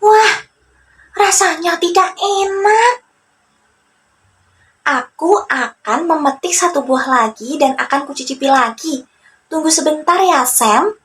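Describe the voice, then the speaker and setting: A very high-pitched, whiny monkey played by a woman storyteller from a children's fable. He is greedy and sly, drawing his words out slowly with exaggerated exclamations. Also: expressive, a bit bright, full character voice. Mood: sly, complaining.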